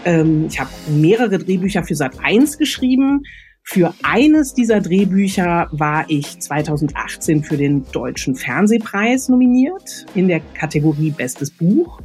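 A woman's voice: on the low side, sing-song, unhurried, darkish tone, soft background music. A woman talking conversationally as an interview guest. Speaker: guest author and screenwriter.

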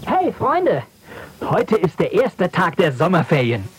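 A man's voice: high-pitched, huge pitch swings, a little slow, full character voice. A brash bossy boy leader. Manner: brash, overconfident, prim when polite. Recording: hissy old cassette.

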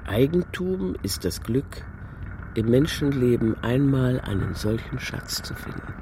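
A man's voice: medium pitch, big pitch swings, unhurried, background noise. A man delivering short, scripted aphorisms as narration.

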